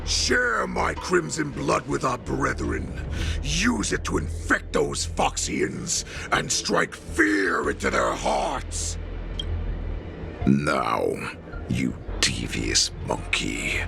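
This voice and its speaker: An actor playing a ruthless, proud wolf-beast warlord in a video game, speaking with slow menace and grand speeches. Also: male voice, sits low, very animated, creaky, heavily acted. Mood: grandiose, commanding. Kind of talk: video game villain acting. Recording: loud music under the voice.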